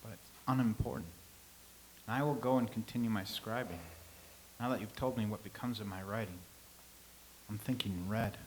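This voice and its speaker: A man playing a calm philosophical scribe. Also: fairly low pitch, a little hiss or hum, lightly acted, poor audio. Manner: measured, sage, lecturing. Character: calm, philosophical old-time male scribe. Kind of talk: staged reading.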